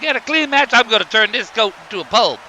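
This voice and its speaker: A man's voice: high voice, expressive, fast, bright, forceful tone, slight background noise, exaggerated voice. A man playing a brash, boastful bear pimp wrestler who taunts in slangy trash talk.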